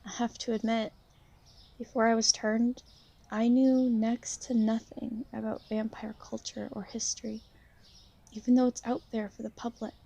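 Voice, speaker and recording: Shy, insecure young female vampire, played by a woman, for ASMR roleplay; mellow, creaky voice, halting and apologetic. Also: a little high, little pitch movement. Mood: uneasy. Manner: hesitant, halting, soft-spoken, apologetic. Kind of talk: ASMR roleplay, intimate one-sided conversation.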